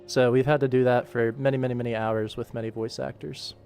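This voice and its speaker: A man's voice: mid-range voice, level tone, brisk, creaky, darkish tone, soft background music. A man hosting a casual work livestream, chatty and self-deprecating as he explains details. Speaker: animation creator and livestream host.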